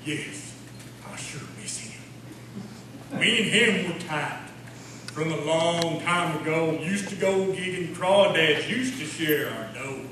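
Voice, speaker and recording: A man's voice: fairly high pitch, slow pace. Mournful country poet played by a man, plaintive folksy recital, distant and very echoey with noise. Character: mournful redneck small-town poet reciting his verse. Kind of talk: comic poetry recital. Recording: echoey hall from far off, noisy.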